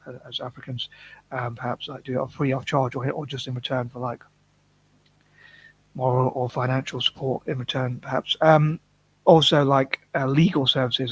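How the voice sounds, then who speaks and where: A man talking in a rambling, self-conscious vlog monologue, from the UK, monotone and full of restarts and fillers. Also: mid-range voice, husky, rough recording. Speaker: vlogger.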